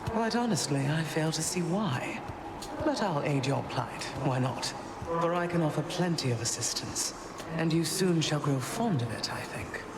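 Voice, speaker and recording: A woman playing a stern elder goddess of winter in a video game, cold, imperious and measured. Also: deep voice, lively intonation. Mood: cool, condescending. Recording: long hall reverb, loud ambient noise.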